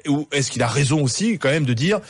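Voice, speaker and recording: A man's voice: a little high, animated, brisk, creaky, husky, dry recording. A man co-hosting a live radio talk show, explaining a policy with frequent hesitations. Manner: explanatory, many "euh" hesitations. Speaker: radio co-host.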